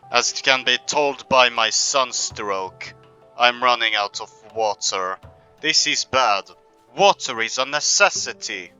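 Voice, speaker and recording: A man narrates his survival game in a put-on accent, slow and unhurried, with a bright, piercing edge to his voice. He plays it mock-serious and self-important. Faint music runs underneath. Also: medium pitch, clean tone, dull audio. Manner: pompous, rambling rants in a put-on accent. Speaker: gameplay commentator. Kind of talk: comedic survival game commentary.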